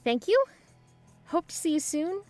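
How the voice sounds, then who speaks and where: A woman's voice: extremely high pitch, very animated, a little slow, a little mellow, heavily acted. A woman playing a cheerful, optimistic young non-binary half-elf half-demon, chattily narrating a podcast promo. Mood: upbeat. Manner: upbeat, chatty. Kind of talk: first-person scripted podcast promo. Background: music.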